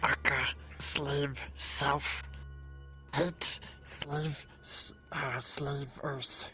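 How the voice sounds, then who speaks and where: The hushed stranger in a fantasy game's back alley, very deep for a woman, dragging out broken, terse phrases, played by a woman. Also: flat delivery, faint music behind, heavily acted. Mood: guarded, resolute. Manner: broken clipped sentences, halting, hushed. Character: terse, halting fantasy outsider who hates slavers. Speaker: roleplayer in a live VR fantasy roleplay.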